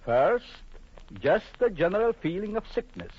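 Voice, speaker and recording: Vintage radio horror villain: jealous doctor, silky threats, very animated delivery, muffled broadcast sound. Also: male voice, high-pitched, moderate pace, slightly rough, full character voice. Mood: gloating menace. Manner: menacing, mock-polite, theatrical. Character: vengeful jealous husband, coldly sinister doctor with mock courtesy. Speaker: actor.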